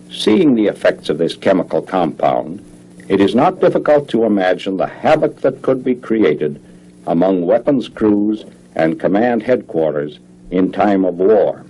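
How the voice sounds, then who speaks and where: An American man reading scripted narration for a 1950s government educational film in a formal, measured, clearly enunciated manner. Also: on the low side, warm tone, poor audio.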